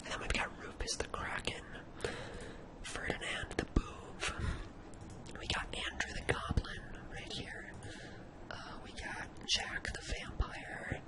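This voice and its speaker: A person whispering an informal ASMR coding tutorial in a breathy, unvoiced voice, hesitating and thinking aloud. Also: slow pace, rough recording. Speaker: tutorial host.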